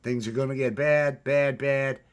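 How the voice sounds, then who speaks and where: A man with a baritone voice hosts a casual morning chat, rambling with restarts and fillers. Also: steady tone, measured pace. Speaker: host.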